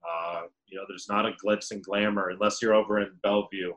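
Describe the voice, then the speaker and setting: Man, deep booming voice, casual podcast storyteller, rambling. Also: little pitch movement, fairly quick, fairly bright tone. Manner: rambling with many fillers. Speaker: podcast guest, restaurant server.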